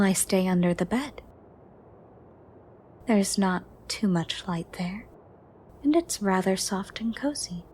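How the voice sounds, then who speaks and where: A woman playing a gentle, ancient female monster under the bed in a soft, breathy, partly whispered ASMR roleplay, formal and caring. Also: medium pitch, a little hiss or hum, lightly acted. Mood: calm, reserved. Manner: slow, formal, caring, slightly stern.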